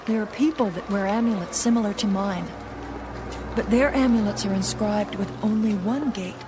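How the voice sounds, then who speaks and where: A woman's voice: medium pitch, normal speed, noisy background, natural voice. An actress playing an earnest female techno-anthropologist in a radio play, polite and inquisitive. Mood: curious, puzzled.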